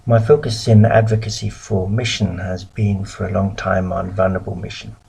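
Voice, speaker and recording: A middle-aged man from the UK giving a recorded lecture as a missionary, measured and pausing often. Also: low voice, little pitch movement, vocal fry, darkish tone, husky. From United Kingdom.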